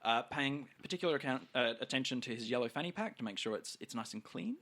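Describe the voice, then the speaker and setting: A man playing a tabletop game, casually improvising descriptions of his character with halting, drawn-out phrasing. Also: mid-range voice, animated, fairly bright tone. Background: music.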